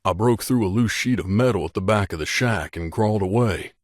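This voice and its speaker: A man's voice: lowish voice, a little fast, dry recording, clean studio sound, lightly acted. A man voicing a troubled, guilt-haunted wasteland companion in a video game, speaking in an earnest, plain-spoken way. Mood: guilty, confessional. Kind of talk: video game voice acting, dramatic dialogue.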